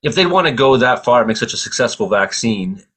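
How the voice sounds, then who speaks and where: Guy hosting a radio talk show, husky voice, folksy and opinionated, plain colloquial talk. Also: mid-range voice, animated, fairly quick, a bit bright. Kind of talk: casual opinionated radio discussion.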